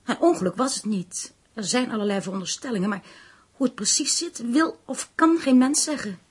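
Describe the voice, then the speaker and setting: Husky, dramatic concerned gossip. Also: female voice, fairly high pitch, animated, normal speed. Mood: shocked, grave. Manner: dramatic, lingering over grim news. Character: concerned, gossipy woman confiding in her friend. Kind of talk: radio play acting.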